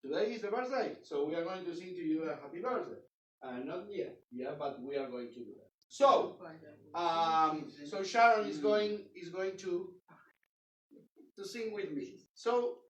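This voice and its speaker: A man with a non-native English accent leading a synagogue service, joking with the congregation in a halting, playful way. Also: sits high, expressive, a bit bright. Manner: halting, playful, self-interrupting, sings and chants. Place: synagogue sanctuary. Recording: roomy, distant mic, crowd audible.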